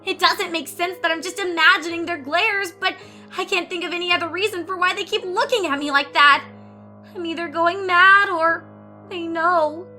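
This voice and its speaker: A woman voicing a guilt-ridden, paranoid young pony student, reading her confessional letters in an anguished, highly emotional way as she unravels. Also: moderate pace, soft background music, full character voice. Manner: anguished, highly emotional, swinging from hushed to screaming.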